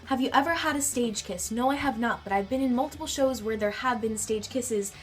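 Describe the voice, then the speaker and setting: A woman's voice: a little high, fairly quick, soft background music. A teenage girl with a nasal, congested voice from a cold, chatting in a bubbly way in her bedroom. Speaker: vlogger. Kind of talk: casual chat answering a tag.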